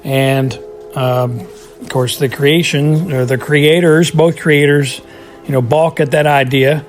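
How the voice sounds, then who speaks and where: A man's voice: fairly high pitch, steady tone, slow, faint music behind. A man giving his casual recorded thoughts on an episode for a podcast, rambling with many fillers and restarts. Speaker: podcast contributor.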